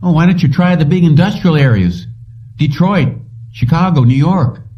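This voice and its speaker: A man's voice: fairly high pitch, normal speed, voice pushed up, lightly acted. A man voicing an easygoing young waiter with casual, folksy small-town talk in an audiobook. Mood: casual, helpful. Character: easygoing young male lunch counter waiter. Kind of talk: audiobook character dialogue. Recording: dull lo-fi, distant from mic.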